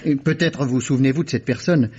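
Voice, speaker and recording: Actor playing a husky, courteous investigator. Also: male voice, medium pitch, fairly quick, mellow, natural voice. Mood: earnest. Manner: courteous, eager. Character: polite, persistent male private investigator. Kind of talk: 1950s radio drama acting. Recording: dull old radio broadcast.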